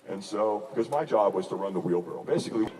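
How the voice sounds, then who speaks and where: Deep, hoarse man giving a motivational talk. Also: animated, fast. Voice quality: slightly hoarse. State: hoarse from hours of talking. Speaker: firewalk team-building trainer and speaker. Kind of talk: motivational talk to a live group. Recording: distant mic, loud outdoor background noise.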